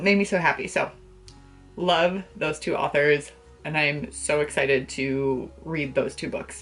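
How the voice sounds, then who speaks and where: Low-pitched young woman, gushing book vlogger. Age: young adult. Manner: gushing, slangy, playful. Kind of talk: casual chat to camera about books. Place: small bedroom, indoors.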